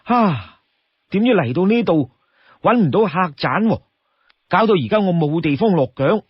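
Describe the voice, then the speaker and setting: A man's voice: high-pitched, expressive, normal speed, husky, no room sound, muffled recording, voice pushed up. A man voicing a polite young travelling peddler with a Cantonese accent, earnest and persuasive, in an audio story. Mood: earnest, pleading.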